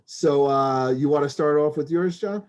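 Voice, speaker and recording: A man's voice: a little high, moderate pace. A middle-aged man co-hosting a comedic podcast, casual and sweary, repeating words as he feels his way through sentences. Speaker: podcast co-host.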